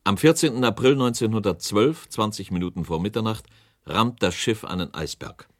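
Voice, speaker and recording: A man with a low voice narrates a documentary radio play. He is sober and factual, delivering scripted lines, with faint music behind him. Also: normal speed.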